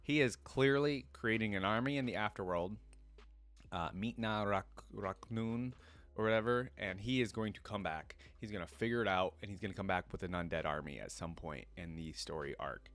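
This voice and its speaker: Casting: young male reaction host, lowish voice, thinking out loud in loose, informal commentary. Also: normal speed, soft background music. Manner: informal, musing aloud.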